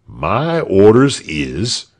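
A man's voice: on the low side, wildly swooping pitch, very slow, a little mellow, exaggerated voice. A man voicing a stubborn, officious common soldier in a storybook, with blunt, ungrammatical rustic speech. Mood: angry, threatening.

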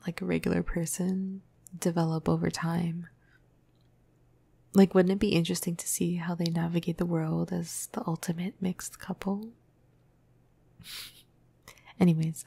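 A woman acts out a tender, flirty female vampire in an ASMR roleplay. Her low, slow voice slips between husky murmurs and whispers, close and intimate. The delivery is casual, hesitant and teasing. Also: no room sound, full character voice. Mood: musing. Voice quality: breathy, soft, husky, low. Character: flirty, apologetic, caring female vampire crush. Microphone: close microphone, dry.

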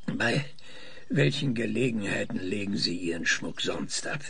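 A man's voice: fairly low pitch, lively intonation, a little slow, a bit bright, slightly rough, full character voice. An actor playing an older, obsessive male scientist who stammers and speaks pedantically in an audio drama. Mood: pedantic, hesitant. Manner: hesitant stammering, pedantic, insistent. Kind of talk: German audio drama acting.

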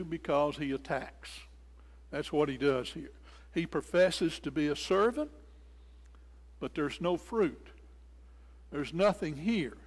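An older man preaching an expository sermon, explaining scripture and repeating phrases for emphasis. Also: fairly high pitch. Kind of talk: sermon, expository Bible teaching. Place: indoors in a church sanctuary at a lectern. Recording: reverberant church hall.

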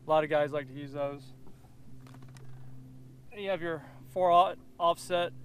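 A man in his thirties giving a casual how-to fishing lesson on a boat, talking in a relaxed, matter-of-fact way as he rigs the bait. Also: on the high side, unhurried, slight background noise. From Central Florida. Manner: relaxed, matter-of-fact, thinks aloud while rigging. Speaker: fishing instructor. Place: outdoors on a boat on a lake.

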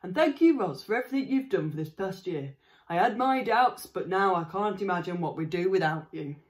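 A woman reading aloud, voicing a gruff, limping middle-aged farmer and father with a northern English accent, loud and hearty. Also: on the low side, expressive, a little fast, close to an everyday voice.

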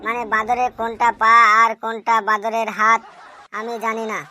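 A woman voicing a bright, cheeky cartoon schoolchild. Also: fairly high pitch, moderate pace, slight background noise. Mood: earnest, halting. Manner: deadpan, literal-minded, politely impudent answers. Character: cheeky schoolchild, earnest-sounding classroom smart aleck. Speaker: cartoon voice actor. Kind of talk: comic cartoon dialogue.

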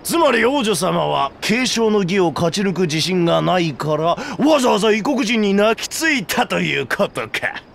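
Creaky, sneering reptilian brute. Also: male voice, sits high, sing-song, moderate pace, few pauses, fairly bright tone, slight background noise, full character voice. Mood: sneering, mocking. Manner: sneering, mock-polite, theatrical. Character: mocking, arrogant monstrous two-headed reptilian brute, elder brother. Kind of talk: video game cutscene acting.

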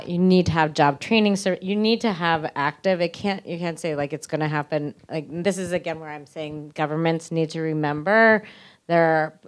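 A woman speaking conversationally and emphatically as a panelist, into a handheld microphone. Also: deep voice. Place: indoor auditorium panel before an audience.

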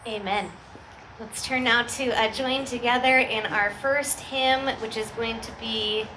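A woman's voice: fairly high pitch, steady tone, a little slow, pushed and bright, low-quality recording. A middle-aged woman pastor leading an outdoor worship service in an informal, conversational way. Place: outdoor church courtyard. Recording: outdoor PA with clear background noise.

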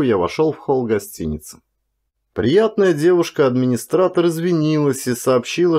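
A man reading a first-person story as an audiobook narrator, in an expressive, dramatic storytelling manner. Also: mid-range voice.

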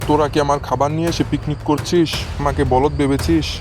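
A man voicing a hungry, hot-tempered male tiger in a cartoon, gruff and threatening. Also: fairly high pitch, flat delivery, fast talker, lightly acted. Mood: furious. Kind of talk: cartoon voice acting. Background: background music.

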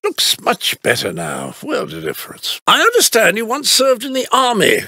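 A man playing a prim, fussy elderly British butler in a video game, formal and drily understated, with a gravelly rasp. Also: very high-pitched, huge pitch swings, moderate pace, pushed and bright, no echo, full character voice. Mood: politely puzzled. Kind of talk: scripted video game character lines.

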